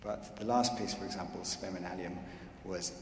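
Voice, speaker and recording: A man explains the electronics side at a handheld mic in a large church, rambling and full of fillers. His voice is very deep. The church adds long reverb and a faint hiss. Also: fairly even intonation, moderate pace, vocal fry. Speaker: electronics collaborator answering questions. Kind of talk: unscripted Q&A answers. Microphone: handheld microphone. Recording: echoey church with faint noise.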